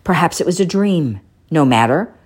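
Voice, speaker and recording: A woman voicing a kindly old male shoemaker who talks in a gentle, wistful way. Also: low-pitched, lively intonation, normal speed, husky.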